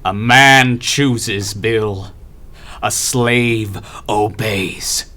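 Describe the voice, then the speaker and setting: A man's voice: on the low side, very slow, pushed and bright, full character voice. An actor playing a proud, domineering city ruler in an audio drama, grandiose and goading in his delivery. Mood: commanding contempt.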